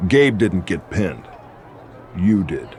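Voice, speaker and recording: Veteran wrestler faction leader, low pitch, swooping intonation, stern, curt, video game cutscene. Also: male voice, sing-song, takes it slowly, darkish tone, a faint crowd, slight background noise, exaggerated voice. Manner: curt and authoritative.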